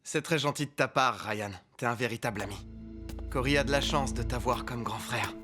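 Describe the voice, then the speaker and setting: A man's voice: medium pitch, fairly quick, music in the background, natural voice. A man playing a mild, naive, friendly young man in a French cartoon dub. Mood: warm.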